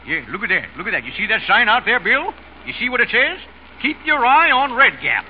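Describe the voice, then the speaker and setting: High-voiced, swooping folksy Western husband. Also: male voice, sing-song, fairly quick. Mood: excited. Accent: American Western. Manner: folksy, boisterous, chuckling. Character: jovial, folksy, irreverent Western small-town husband. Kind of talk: 1930s-40s radio comedy acting. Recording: muffled, hissy old radio broadcast.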